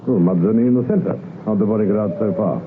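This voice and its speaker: An actor playing a brisk, commanding police detective in an old-time radio true-crime drama. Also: male voice, lowish voice, lightly acted. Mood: questioning. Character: brisk male police detective in charge of digging men. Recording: muffled, very noisy old radio recording.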